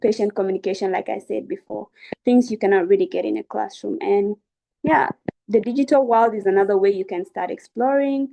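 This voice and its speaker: Young woman, creaky and soft-toned, earnest student presenter encouraging her listeners. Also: medium pitch, fairly even intonation, fairly quick. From South Sudan. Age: young adult. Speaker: student webinar speaker. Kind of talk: informal educational presentation.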